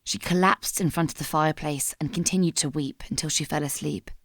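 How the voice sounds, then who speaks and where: A woman narrating a dramatic story from a script in a somber, measured manner. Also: deep voice, steady tone, fairly bright tone, dry recording.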